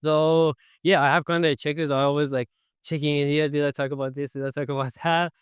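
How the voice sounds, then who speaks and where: A young man with as his hosts a casual interview, his slightly high voice smooth and clear. He talks loosely with frequent "like" fillers. The recording is dry and close. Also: level tone, quick pace, no echo. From Iran. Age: 20s. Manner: chatty, non-native phrasing, frequent "like" fillers. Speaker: podcast host.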